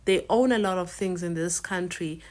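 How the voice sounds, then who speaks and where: A woman's voice: lowish voice, normal speed. A South African woman with a South African accent, hosting a reaction video and giving firm, emphatic commentary, often repeating words for stress. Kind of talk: casual commentary to camera.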